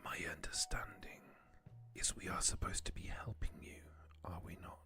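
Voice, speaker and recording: A man voicing a quiet, menacing male vampire in a tabletop game, speaking formally in a breathy whisper. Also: low voice, normal speed. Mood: guarded menace. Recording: music behind the voice.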